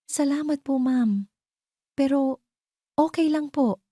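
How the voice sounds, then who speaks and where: A timid, respectful young nanny played by a female narrator in a romance audio story. She is deferential and mellow, recorded close and dry. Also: high voice, slow pace, no room sound, pitched above the performer's own voice. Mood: meek, polite. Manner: polite, deferential. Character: meek, polite young woman nanny.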